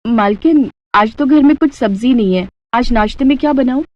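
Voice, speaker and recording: A woman's voice: a little high, normal speed, dull audio, a little hiss or hum, natural voice. A woman voicing a humble, hardworking housemaid and mother with an earnest manner in a Hindi cartoon story. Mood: puzzled.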